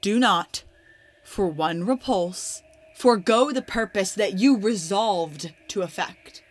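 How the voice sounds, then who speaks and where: Scheming noble played by a woman, mid-pitched, measured and persuasive, Shakespearean radio drama. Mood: conspiratorial. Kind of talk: Shakespearean radio play acting.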